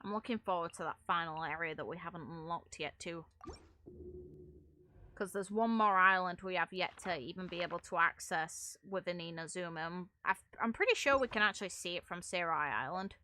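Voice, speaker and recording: A woman's voice: lowish voice, brisk, sharp tone, slight background noise. A woman giving casual commentary on game lore, thinking aloud in a rambling, musing way. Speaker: gaming video creator and streamer. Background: music.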